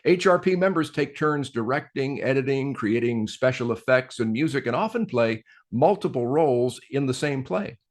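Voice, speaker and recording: A man presenting a scripted introduction to a radio production in a steady, courteous way. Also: medium pitch, moderate pace, few pauses. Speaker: announcer and presenter.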